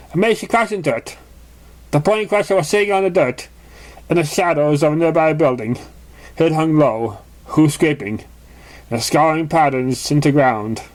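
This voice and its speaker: A high-voiced man reads fiction aloud as scripted narration. He is an amateur reader who trips over the occasional word and carries on with the story. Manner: stumbles over words while reading.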